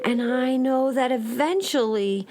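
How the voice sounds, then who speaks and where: A woman playing a gentle, patient old swamp alien grandmother in a children's audio drama, speaking graciously and hopefully. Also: sits high, measured pace, a little hiss or hum. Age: older.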